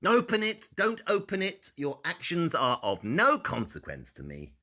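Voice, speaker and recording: Impatient genius detective: animated, bright, forceful voice, cynical and brusque, audio drama. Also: male voice, a little high, measured pace, heavily acted. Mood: irritated, brusque. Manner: emphatic, brusque, analytical. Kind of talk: audio play acting.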